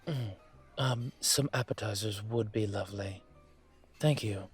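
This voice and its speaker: Man acting a husky, slow-talking dragon mafia boss. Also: on the low side, soft background music. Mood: relaxed, courteous. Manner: measured, hesitant, pausing often. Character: dragon mafia boss, brooding, courteous, vengeful on a dinner date. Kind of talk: audio roleplay drama, intimate dinner conversation.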